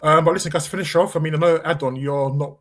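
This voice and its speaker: A man's voice: on the high side, fairly even intonation, fast, a bit bright. A man hosting a football podcast, chatting casually with a panel.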